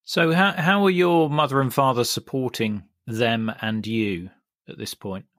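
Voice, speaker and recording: A man's voice: medium pitch, unhurried, a bit bright, no room sound. Man asking interview questions, dry sound. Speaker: podcast host.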